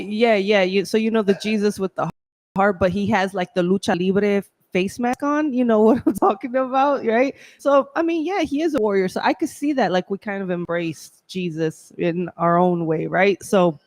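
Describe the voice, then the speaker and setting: A woman hosts a casual live chat, talking freely with plenty of "like" and "right?" tags. Her voice sits at a middle pitch and sounds close and dry, with no room echo. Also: normal speed, a little mellow. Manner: chatty, lots of "like" and "right?". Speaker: host. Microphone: handheld mic, filming on phone.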